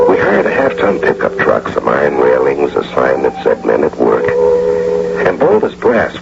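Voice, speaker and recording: A wily bank robber telling his caper in a radio mystery. The voice is very low and gravelly, with wildly swinging intonation and dramatic pauses. It comes through a dull old broadcast recording. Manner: animated, storytelling with rhetorical questions and dramatic pauses. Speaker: narrator and lead character.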